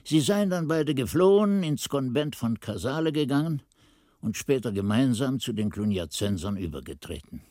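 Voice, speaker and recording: An actor playing an earnest, reflective monk who tells his story in expressive, deliberate literary narration for a radio drama. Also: mid-range voice, no room sound, clean studio sound, lightly acted. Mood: earnest recounting.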